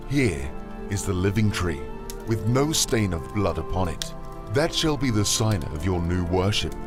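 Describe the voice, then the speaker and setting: A man playing a fervent, solemn missionary priest in an audio drama, declaiming in formal archaic speech. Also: on the low side. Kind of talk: audio drama acting of a classic tale.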